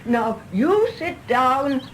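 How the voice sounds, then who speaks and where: Fussy motherly lady, radio drama, high voice, placating, slight background noise. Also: big pitch swings, very slow, full character voice. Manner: fussing, placating.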